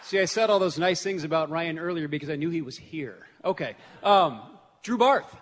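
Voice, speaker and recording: A man's voice: a little high, expressive, normal speed, a faint crowd. A man speaking as an event host, chatty and rambling, introducing people casually and off the cuff from a stage. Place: large church hall, on stage. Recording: noisy echoing hall with audience. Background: audience and room noise.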